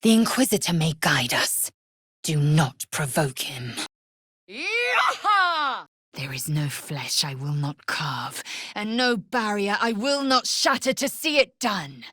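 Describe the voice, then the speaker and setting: A woman's voice: mid-range voice, huge pitch swings, takes it slowly, sharp tone, husky, no echo, clean studio sound, full character voice. A woman voicing a fierce, haughty alien warrior in a fantasy video game, with an imperious, clipped, solemn delivery. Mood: commanding, then battle cries, then proud, resolute.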